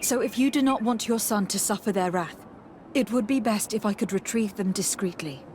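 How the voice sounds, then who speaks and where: A woman playing a calm, diplomatic young noblewoman envoy in a video game, speaking in a measured, formal and firm way. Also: mid-range voice, a little hiss or hum, lightly acted. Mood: firm, pressing. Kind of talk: video game dialogue acting.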